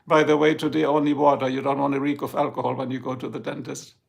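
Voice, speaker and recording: A man's voice: mid-range voice, little pitch movement, fast, vocal fry. An older man from Germany with a German accent, hosting a rambling, jokey live stream chat from home and talking to his viewers by name. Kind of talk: casual chat. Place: home, in Ohio.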